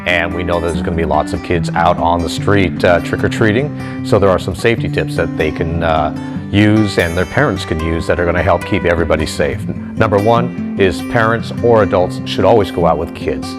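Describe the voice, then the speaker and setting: A man's voice: low-pitched, moderate pace. A man giving public safety advice in a plain, spoken explanation. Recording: prominent guitar music under voice. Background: plucked guitar music.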